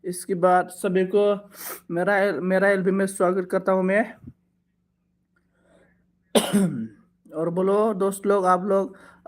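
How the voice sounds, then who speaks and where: Guy doing a live stream, high voice, kinda flat, thanks everyone over and over. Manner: switches between Bodo, Hindi and English phrases; repetitive thanks and requests for support. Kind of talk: casual live stream chat with viewers.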